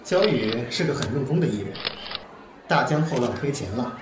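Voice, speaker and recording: A man's voice: medium pitch, animated, normal speed, background noise, natural voice. A man acting as an established pop singer in a radio drama, soothing and magnanimous in manner. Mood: composed, magnanimous. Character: established male pop singer, gentle and forgiving in public. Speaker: voice actor.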